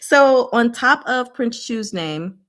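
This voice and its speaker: A woman's voice: fairly high pitch, animated, slow pace, fairly bright tone. A woman hosting a casual book discussion, explaining things informally with playful, rambling asides.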